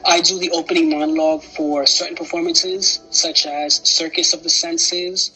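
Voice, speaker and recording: A man's voice: on the high side, little pitch movement, moderate pace, pushed and bright, breathy edge, faint music behind, rough recording. A young man with a Dominican New York accent, a guest in a casual interview, playful and upbeat. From New York, Upper Manhattan. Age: young adult.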